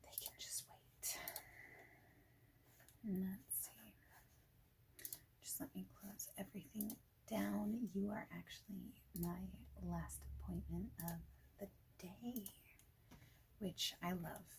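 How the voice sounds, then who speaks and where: A woman speaking softly and breathily, often in a whisper, in a gentle, informal ASMR roleplay as a friendly boss. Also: mid-range voice, steady tone, takes it slowly, gravelly, long pauses, a little hiss or hum, rough recording. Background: clicking of keyboard and small handled objects.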